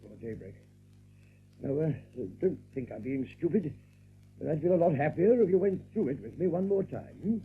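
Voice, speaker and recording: A man's voice: fairly high pitch, animated, normal speed, long pauses, narrow, tinny audio. A man playing an anxious, apologetic rabbit in an audio drama, hesitant and wanting reassurance. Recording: thin band-limited recording with faint music.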